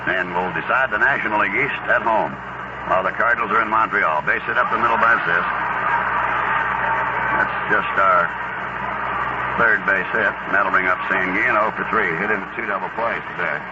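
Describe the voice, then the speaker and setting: A man with a fairly low voice delivers live baseball play-by-play in short, folksy phrases. The sound is a dull, lo-fi 1970s radio broadcast, buried in very loud noise. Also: moderate pace. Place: ballpark broadcast booth.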